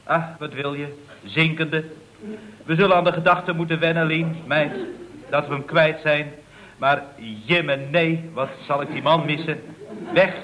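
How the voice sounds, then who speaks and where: An actor playing an earnest, deferential employee in a vintage radio comedy, melodramatic and prone to stammering. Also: male voice, a little high, flat delivery, measured pace, a bit bright, poor audio. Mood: mournful, dramatic. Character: earnest, deferential male employee, dramatically dismayed underling. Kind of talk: 1960s-70s Dutch radio comedy acting.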